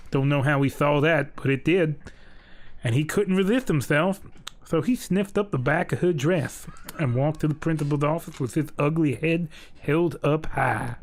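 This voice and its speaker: A man's voice: fairly high pitch, close to an everyday voice. A man playing a folksy, crude Southern US storyteller, telling a mock children's bedtime story with rambling, ungrammatical asides.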